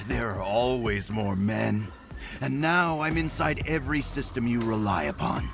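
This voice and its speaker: An actor playing a gloating, menacing mercenary villain in a video game, taunting with a drawl. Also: male voice, mid-range voice, lively intonation, a little slow, vocal fry, noisy background. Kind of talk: cinematic video game acting.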